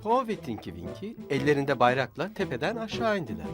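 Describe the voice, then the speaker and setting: A male narrator tells a simple children's story. His voice sits a little high and moves expressively, with music clearly behind it. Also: huge pitch swings, brisk.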